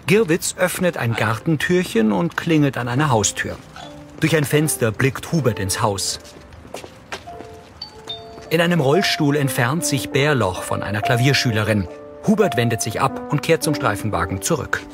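A man's voice: mid-range voice, lively intonation, normal speed. Male audio-description narrator: factual, neutral, mid register, soundtrack music behind. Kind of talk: scripted narration in present tense. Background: music and show soundtrack.

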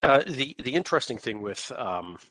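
A man with a Canadian accent giving an informal webinar presentation in a halting, explanatory way. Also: mid-range voice, very animated, a bit bright, dry recording, poor audio.

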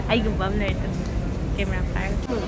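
Young woman vlogging on her phone, talks super fast, bit high voice, loud crowd and traffic behind. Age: young adult. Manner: quick, chatty, laughing often. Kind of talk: casual chat. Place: car, then a shopping mall. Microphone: handheld phone camera. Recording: busy mall crowd and road noise.

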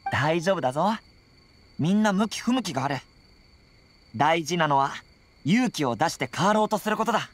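A man's voice: fairly high pitch, animated, moderate pace, pauses often, close to an everyday voice. An actor playing a kind, straightforward young male warrior in an anime game. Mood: reassuring. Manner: encouraging, straightforward. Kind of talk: Japanese anime game voice acting.

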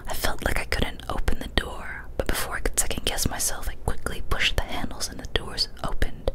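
A young woman whispers a horror story as an ASMR reading, soft, breathy and fully unvoiced, close to a studio microphone. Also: low-quality recording. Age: young adult. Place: small decorated room indoors. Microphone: large studio mic with foam cover, very close.

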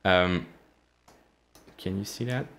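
Man, 30s, low voice; relaxed coding-tutorial host, halting and full of fillers, recorded close in a small room. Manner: halting, many fillers and restarts. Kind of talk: casual screen-share coding walkthrough. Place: small indoor room, plain wall.

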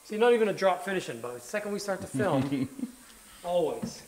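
A man in his thirties hosting a car vlog, talking fast and with energy while working in a tire workshop. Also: high voice, expressive, darkish tone, soft background music, poor audio. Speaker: car builder and vlog host. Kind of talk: casual on-camera vlog. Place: indoor tire workshop garage.

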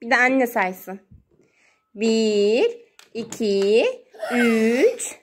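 High-pitched, slow-talking mother playing. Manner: sing-song baby talk with repeated words. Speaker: mother playing with her young child. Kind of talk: playful child-directed play narration. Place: indoors at home beside water play tubs. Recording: noisy home phone recording with clicks.

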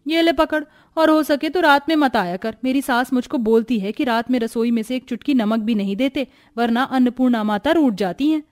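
A woman's voice: sits high, a little fast, hardly any pauses, natural voice. A woman voicing a grumbling, stingy married neighbour in a cartoon family drama, curt and grudging.

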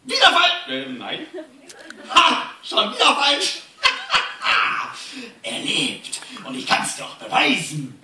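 A man playing an eccentric, teasing old monkey shaman in a live radio play, riddling and excitable. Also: extremely high pitch, drawn-out words, long pauses, crowd noise, exaggerated voice. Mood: gleeful. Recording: echoey hall, distant handheld phone, noisy.

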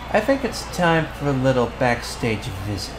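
Casting: male actor as teenage bully, tsundere type, medium-pitched, brusque and bossy, ASMR roleplay over audible background ambience. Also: big pitch swings, normal speed, close to an everyday voice. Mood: flustered, amazed. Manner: brusque, bossy, sighing and muttering. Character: tsundere teenage school bully with a secret soft side. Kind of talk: ASMR boyfriend roleplay acting. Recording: clear background ambience noise.

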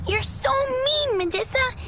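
Game role: a very high-voiced, stubborn monster child, slow drawn-out whine, clear noise behind. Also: female voice, animated, full character voice. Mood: whiny, upset. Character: whiny, stubborn little monster girl.

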